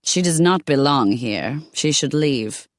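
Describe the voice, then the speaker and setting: Woman reading a cold proud medieval lady, slow and formal. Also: sits low, animated, dry recording, clean studio sound.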